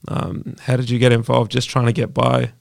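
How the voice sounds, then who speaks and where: Host guy with a really gravelly, flat voice, dry close sound, asks stuff kinda haltingly. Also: mid-range voice, dry recording. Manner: easygoing, halting questions. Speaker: podcast host. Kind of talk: podcast interview.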